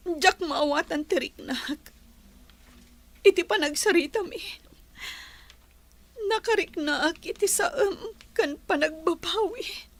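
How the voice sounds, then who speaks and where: A woman's voice: very high-pitched, takes it slowly, long pauses, fairly bright tone, heavily acted. An actress with a northern Philippine accent playing a troubled young married woman, wistful and emotional, in radio melodrama style. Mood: troubled, regretful. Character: troubled, regretful young married woman torn by old love.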